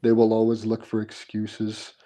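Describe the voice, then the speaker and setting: Low mellow-voiced guy just chatting on a stream, blunt opinions, keeps restarting sentences. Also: monotone, normal speed. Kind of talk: casual chat.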